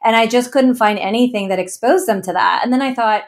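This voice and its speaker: A woman's voice: on the high side, brisk. A woman in her thirties, originally from Ecuador, telling her founder story earnestly in an interview and laughing now and then. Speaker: founder guest. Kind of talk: interview conversation.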